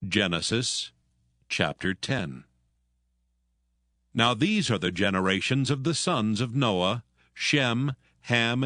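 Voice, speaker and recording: A man narrating scripture from a script in a measured, solemn manner. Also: medium pitch, a bit bright, no room sound, clean studio sound. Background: music.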